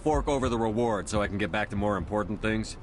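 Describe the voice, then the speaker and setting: A man's voice: fairly low pitch, quick pace, background noise, close to an everyday voice. An actor voicing a blunt, businesslike male starship commander in a video game. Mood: curt.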